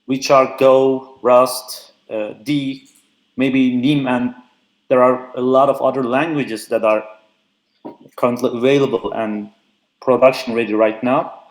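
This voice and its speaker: Young man, mid-pitched and loose, talking shop over an echoey remote feed. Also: steady tone, unhurried. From Turkey. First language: Turkish. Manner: frequent "uh" fillers, loose and chatty. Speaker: conference speaker. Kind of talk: casual technical talk. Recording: echoey remote call audio.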